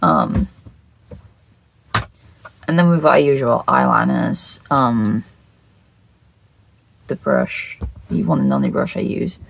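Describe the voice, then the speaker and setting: Croaky, creaky, scatterbrained video creator. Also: mid-range voice, slow pace, long pauses, poor audio. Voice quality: croaky, just-woken voice. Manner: scatterbrained, repeats phrases, sweary. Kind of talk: casual rambling chat to camera.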